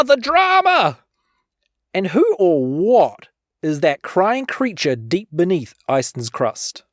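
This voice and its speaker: A woman with a New Zealand accent speaks as a cheeky podcast host. Her delivery is very lively and theatrical, swinging widely in pitch, and the recording is dry and close. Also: no echo. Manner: animated, cheeky. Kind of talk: playful, theatrical podcast outro.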